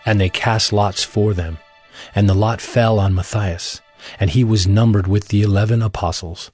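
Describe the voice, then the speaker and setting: A man's voice: fairly low pitch, fairly even intonation, normal speed, a little mellow, husky, faint music behind. A man narrating a dramatized scripture audiobook in a scripted reading style.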